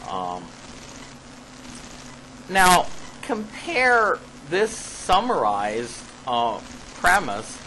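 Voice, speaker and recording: A man reading an academic paper aloud in a classroom as a lecturer, halting and deliberate, stressing key words and adding spoken asides. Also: medium pitch. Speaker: lecturer presenting own paper. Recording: clearly noisy live classroom recording.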